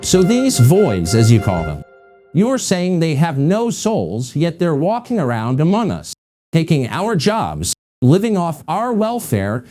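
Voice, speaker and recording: Combative interviewer for audio drama: higher-set, hugely animated delivery, incredulous, needling. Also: male voice, a little slow, soft background music. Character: hostile, prejudiced male talk show interviewer, pointed and mocking.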